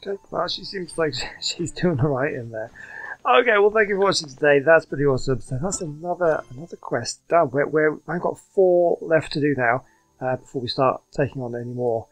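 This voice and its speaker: A man's voice: on the high side, animated, fairly quick, rough recording. A man hosting a gaming video, chatting informally as he plays. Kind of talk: casual game commentary.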